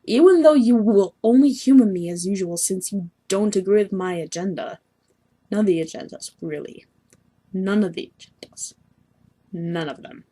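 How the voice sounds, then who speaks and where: Woman doing a husky, sulky young male troll schemer. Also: medium pitch, normal speed, dark tone, lightly acted. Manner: pompous, petulant, self-pitying. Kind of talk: amateur animated-webcomic voice acting.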